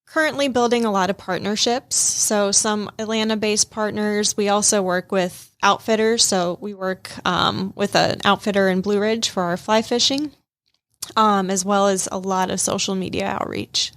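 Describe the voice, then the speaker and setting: A woman's voice: mid-range voice, moderate pace, no echo, clean studio sound. A young woman from Minnesota, raised in Georgia, with a mix of Midwestern and Southern accent, chatting as a radio interview guest and telling stories with easy laughs. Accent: mixed Midwestern and Southern US. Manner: chatty, animated storyteller who laughs easily. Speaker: guest, hospitality and events manager.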